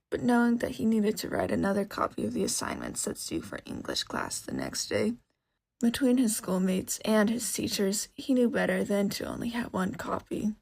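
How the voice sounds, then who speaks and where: A woman reading a story aloud as expressive scripted narration. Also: medium pitch, vocal fry. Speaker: narrator, podfic reader.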